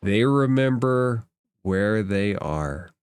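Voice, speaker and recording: A man's voice: lowish voice, expressive, takes it slowly, a little mellow, clean tone, dry recording, clean studio sound. A man acting as game master, narrating a fantasy roleplaying game in formal phrases and putting questions to the players.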